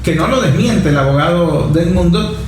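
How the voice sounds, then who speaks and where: A man's voice: high voice, expressive, normal speed. A Venezuelan man in a conversational political discussion, speaking emphatically and argumentatively and repeating phrases to press his point. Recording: very echoey room, faint background noise.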